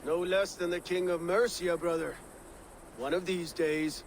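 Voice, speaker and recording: A proud, loyal Viking brother in a game cutscene. His voice is fairly high and sincere, with formal phrasing and faint ambient noise behind. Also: steady tone, a little slow. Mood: wry. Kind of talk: video game cutscene acting.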